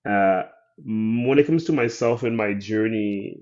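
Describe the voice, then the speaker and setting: A man from Haiti, a filmmaker guest, telling his life story in a thoughtful, soft-spoken way with many pauses and "um"s. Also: fairly low pitch, highs cut off. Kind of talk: interview storytelling.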